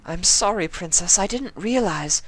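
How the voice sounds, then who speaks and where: A man voicing a young female unicorn pony, elegant and remorseful, speaking in a high, pleading tone. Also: lively intonation, measured pace, a bit bright, no room sound, full character voice. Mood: apologetic.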